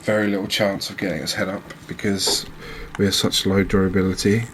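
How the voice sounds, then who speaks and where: A man giving soft-spoken, unhurried ASMR commentary as he plays a game, musing aloud to his viewers. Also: deep voice, flat delivery, slight background noise, low-quality recording. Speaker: game streamer, host.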